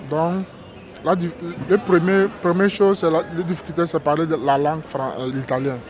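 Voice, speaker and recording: A man from Togo, interviewed outdoors, tells his story haltingly with many restarts and keeps asking "tu vois, non?". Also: on the high side, steady tone. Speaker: interview guest, migrant worker. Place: outdoors on a busy street.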